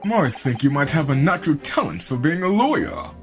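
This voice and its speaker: A woman reading a calm, wry young lawyer. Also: deep voice, voice pushed down. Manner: measured, wry, encouraging. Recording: game music behind.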